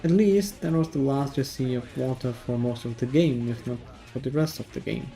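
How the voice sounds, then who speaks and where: A man's voice: medium pitch, normal speed, over music. A man narrating a scripted video game review in a dry, sardonic manner.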